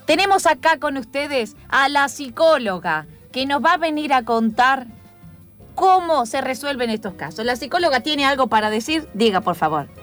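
Casting: woman playing showy Argentine talk-show mediator, very high voice, big swooping delivery, radio comedy. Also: expressive, normal speed, a bit bright, soft background music. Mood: officious. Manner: emphatic, showy, repeats phrases. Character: theatrical, sensational talk-show hostess and mediator. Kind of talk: improvised comic radio play.

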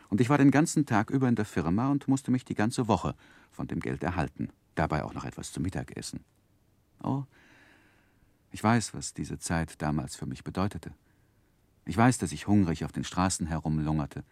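A man's voice: low voice, a little mellow, dry recording. An actor playing a grown man who earnestly recalls his boyhood, narrating in a literary 1960s German radio play style. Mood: earnest, sorrowful.

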